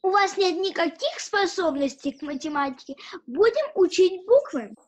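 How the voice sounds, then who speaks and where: A woman's voice: very high-pitched, expressive, normal speed. A child playing a prim, bossy girl doll who instructs and scolds like a governess in an audio play. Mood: prim, strict.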